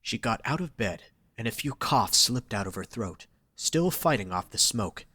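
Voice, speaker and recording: A man reads dramatic scripted narration in a somewhat low voice. It has a raspy grain and a bright, forceful edge. The sound is dry and close. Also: animated, normal speed, no room sound.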